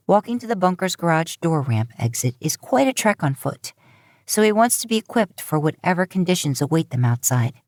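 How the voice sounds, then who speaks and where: Female narrator, deep-pitched voice, measured storytelling, scripted fiction reading, dry acoustics. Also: darkish tone, no room sound. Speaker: podfic narrator.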